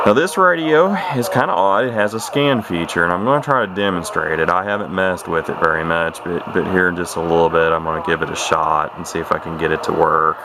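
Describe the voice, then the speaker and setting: A middle-aged man with a deep, low voice talks through a hands-on demonstration. His delivery is folksy and unscripted, wandering and hesitating as he goes. Noticeable radio static and noise sit behind his words. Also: brisk, few pauses. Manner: folksy, plainspoken, hesitant and rambling. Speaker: vintage radio hobbyist and demonstrator. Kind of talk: casual unscripted product demonstration. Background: CB radio noise from its speaker.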